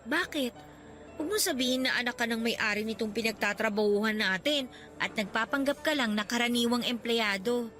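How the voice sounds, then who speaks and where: A shy, self-doubting young working woman, played by an actress in a radio drama, her voice high and bright. She speaks softly and hesitantly at first. Faint music plays underneath. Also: fairly quick, close to an everyday voice. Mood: warming. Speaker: Karen.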